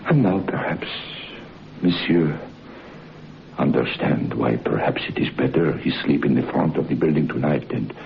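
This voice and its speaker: An actor playing a grave Frenchman in a radio horror play, speaking haltingly and ominously. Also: a bass voice, creaky, heavily acted. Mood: grave, reluctant. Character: grave Frenchman recounting an evil woman's ghostly legend. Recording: muffled, noisy old radio recording.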